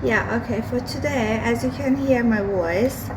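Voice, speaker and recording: A hoarse woman talking through her day in a casual home vlog, slow and hesitant with many fillers as she cooks. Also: fairly high pitch. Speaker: vlogger. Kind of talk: casual daily vlog while cooking. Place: home kitchen with windows open. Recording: home recording with outside traffic noise. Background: street and vehicle noise from outside.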